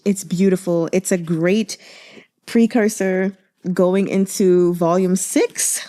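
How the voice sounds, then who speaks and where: A woman hosting a book channel, giving casual commentary in a gushing, expressive manner. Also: mid-range voice. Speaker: host of a book reading channel.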